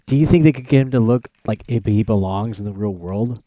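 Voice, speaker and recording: Male podcast host, low and relaxed, closely recorded and dry, casual countdown style with halting, repetitive phrasing. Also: no room sound.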